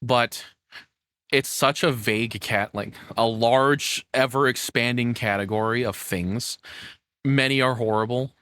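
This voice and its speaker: A man's voice: medium pitch, steady tone, a little slow, a bit bright, clean tone, no echo, studio quality. A man giving casual, rambling reaction commentary in a sarcastic, cynical manner with frequent swearing. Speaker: streamer reacting to a video.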